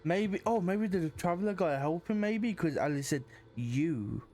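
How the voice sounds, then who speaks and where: A man's voice: high voice, lively intonation, unhurried, darkish tone, a little hiss or hum. A man giving casual playthrough commentary as he reacts to a game's story. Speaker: gaming streamer reacting to a story. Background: game music.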